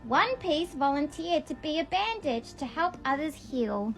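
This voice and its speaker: High-pitched woman reading a storybook aloud. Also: animated, a little slow, gravelly, soft background music. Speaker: vlogger reading a picture book aloud. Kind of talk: read-along storytelling with casual asides.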